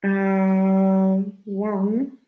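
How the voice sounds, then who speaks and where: A woman's voice: mid-range voice, monotone, drawn-out words, phone-quality sound, faint music behind. A woman with an Italian accent, a native Italian speaker, co-hosting a live webinar with short remarks and bits read from the chat. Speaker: webinar co-host.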